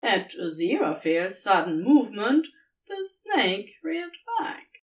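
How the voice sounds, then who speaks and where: A woman narrating a scripted story as a reader, in a measured, expressive storytelling manner. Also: mid-range voice, recorded from a distance. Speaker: podfic reader.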